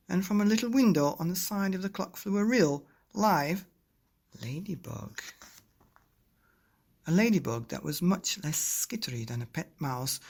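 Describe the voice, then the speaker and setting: A man's voice: fairly high pitch, vocal fry, husky. A man hosting and reading a children's bedtime story, speaking expressively and directly to young listeners. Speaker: podcast host and storybook reader.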